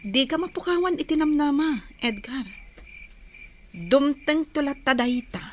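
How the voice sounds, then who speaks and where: A woman with an Ilocano Filipino accent playing a gentle, hopeful young confidante in a radio drama. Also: high-pitched, lively intonation, measured pace. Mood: consoling. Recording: noisy, chirping cricket ambience behind. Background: crickets and insects.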